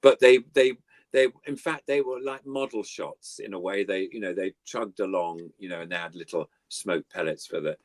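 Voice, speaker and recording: A man's voice: sits low, normal speed, no echo. An older man chatting casually as a guest, reminiscing in an effusive, rambling way. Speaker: guest puppeteer.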